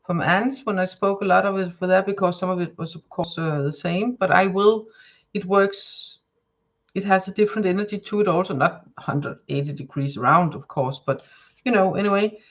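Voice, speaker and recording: A woman with a Danish accent chats through a live tarot reading, searching for words and circling back with many fillers. Her talk turns gentle and soothing when she gives spiritual guidance. The sound is somewhat dull and lo-fi. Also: mid-range voice. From Denmark. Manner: rambling, hesitant, many fillers and restarts. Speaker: tarot reader. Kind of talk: live-stream card reading chat.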